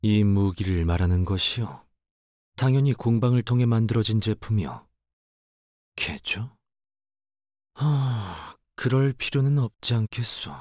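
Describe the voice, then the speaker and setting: Game casting: dutiful young fixer, lowish voice, archaic formal diction, reserved and melancholy, very dry close sound. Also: male voice, animated, unhurried, long pauses, a little mellow, no room sound. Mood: polite, reserved. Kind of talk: scripted game character voice lines.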